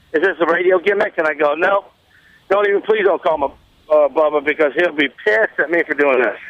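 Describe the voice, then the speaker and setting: A man telling a rambling casual anecdote on a radio talk show, halting and repeating himself, with plain folksy grammar. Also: sits high, no room sound, lo-fi sound.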